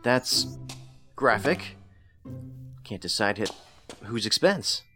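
An actor playing a skeptical federal investigator in a science fiction audio drama, with a dry, understated, sardonic delivery. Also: male voice, lowish voice, animated, slow, over music. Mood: wry.

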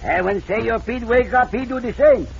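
Good-natured comic Mexican sidekick, very high pitch, Mexican accent, broken English, creaky, muffled vintage radio western. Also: male voice, normal speed. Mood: boastful, excited. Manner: broken English, refers to himself by name. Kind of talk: 1940s-50s western radio acting. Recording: noisy, narrow old radio recording.

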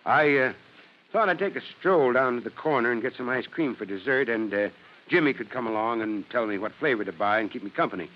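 An actor playing a kindly, steady American fire chief in old-time radio drama, with a reassuring, folksy authority. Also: male voice, mid-range voice, a little fast, lightly acted. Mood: concerned, coaxing. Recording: muffled, hissy old radio recording.

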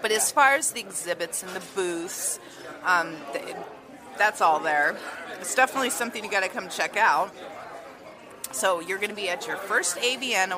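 A woman's voice: medium pitch, animated, normal speed, crowd noise. Giggly flirtatious woman host. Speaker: podcast host. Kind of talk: casual chatty interview. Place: hotel restaurant.

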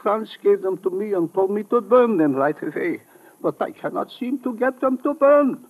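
An actor playing an anxious old mill janitor in a radio drama, hesitant and pleading. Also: male voice, high-pitched, animated, moderate pace, a little mellow, low-quality recording. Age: older. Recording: vintage radio broadcast recording.

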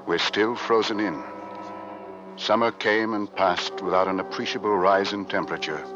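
Grave, low-voiced Arctic ship's officer. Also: male voice. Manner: grave, dramatic storytelling. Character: weary ship's officer recounting a doomed 1850s Arctic expedition. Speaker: actor and narrator. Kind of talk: old-time radio drama narration. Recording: muffled vintage radio recording, loud music.

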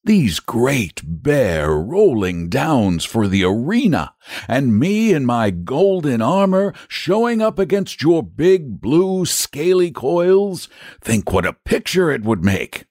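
A man's voice: fairly high pitch, sing-song, unhurried, no room sound, studio quality, voice pushed up. A man voicing an earnest, patient, confident storybook knight who reasons in an eager, persuasive way. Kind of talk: storybook character voice.

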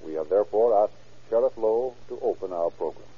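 A man's voice: fairly low pitch, brisk, breathy edge. A man working as an announcer, reading scripted copy formally and emphatically in 1930s radio style. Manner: formal, emphatic salesmanship and narration. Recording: tinny old 1930s radio recording.

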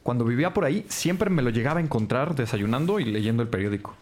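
A man's voice: mid-range voice, lively intonation, fast talker, noisy background, lightly acted. A man playing a concerned police colleague in an audio drama. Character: concerned police teammate of the detectives.

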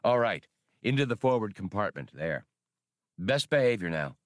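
A man's voice: fairly low pitch, very animated, moderate pace, dry recording, studio quality. A man voicing a wry, impatient smuggler starship captain in an audiobook, curt and slangy. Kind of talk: audiobook character acting.

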